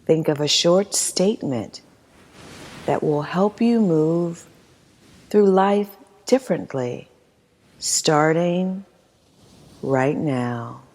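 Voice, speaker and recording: A woman leading a guided meditation as instructor, reading in a soothing, measured manner with long pauses. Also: low-pitched, lively intonation, darkish tone. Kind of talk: guided meditation, scripted narration. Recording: long hall-like reverb.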